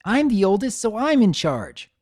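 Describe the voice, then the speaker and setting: Bossy eldest filly played by a narrator: high, grating, quarrelsome, cartoon pony tale. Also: very animated, normal speed, darkish tone. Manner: indignant, haughty, grating.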